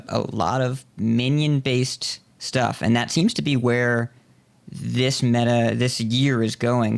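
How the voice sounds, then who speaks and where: A male podcast host with a noticeably creaky voice at an average pitch. He talks in a conversational interview style, building wordy, considered phrases.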